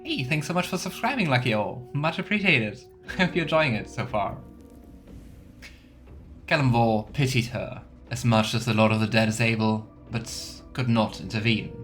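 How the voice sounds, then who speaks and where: A man's voice: medium pitch, lively intonation, moderate pace. A man hosting a video game let's play, commenting and reading game texts aloud over game music. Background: soft game music.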